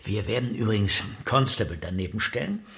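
An actor playing an eager, collegial museum curator in a naturalistic radio drama, conversational and persuasive. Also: male voice, fairly low pitch, expressive, fairly quick, fairly bright tone.

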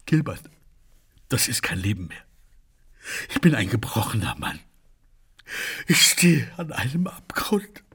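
Florid, lovesick gentleman for radio satire: husky, pompous, theatrically tormented by passion. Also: medium pitch, big pitch swings, a little slow, long pauses, exaggerated voice. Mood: anguished. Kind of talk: satirical radio play acting.

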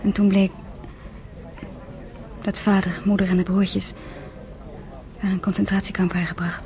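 A woman's voice: medium pitch, level tone, measured pace, darkish tone, close to an everyday voice. A woman playing an earnest teenage girl. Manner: earnest, hesitant, stumbling over words. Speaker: radio drama actress. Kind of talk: radio play narration and acting.

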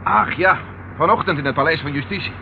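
An actor playing a brisk, wry, commanding police inspector in an old radio drama. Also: male voice, fairly high pitch, gravelly. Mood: musing. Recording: dull, noisy old radio recording.

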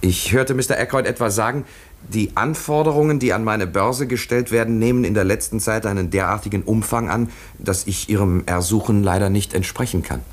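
Formal gentleman's secretary, acted by a man, low slightly husky voice, measured delivery, vintage radio mystery. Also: natural voice. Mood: composed, reflective. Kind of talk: 1950s German radio play acting.

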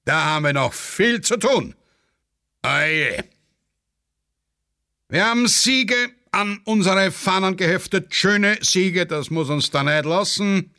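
A man's voice: sits high, animated, takes it slowly, bright, forceful tone, full character voice. A man playing a pompous, muddled Austrian general with an Austrian accent, speechifying to his officers in a satirical radio play. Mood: pompous, complacent.

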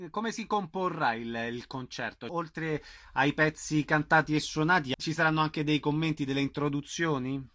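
A man's voice: a little high, normal speed, sharp tone. A man working as a radio interviewer, introducing a guest and putting questions to him.

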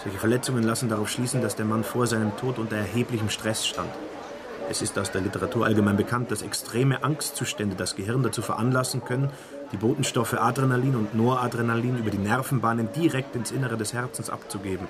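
Man acting earnest investigator, medium-pitched, precise detailed delivery, radio crime drama, crowd in background. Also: fairly even intonation, fast talker, lightly acted. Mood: matter-of-fact. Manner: precise, recites facts in detail. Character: earnest male investigator briefing a superior on a death.

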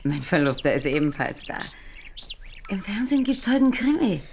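A woman's voice: on the low side, very animated, normal speed, darkish tone, slight background noise. An actress playing a friendly, assured young engaged woman giving testimony in a German radio crime drama. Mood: friendly, sure.

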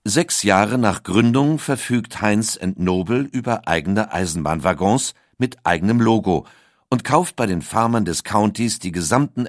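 A man reading a scripted documentary audiobook in a measured, articulate storytelling manner. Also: on the low side, dry recording, clean studio sound. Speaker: narrator.

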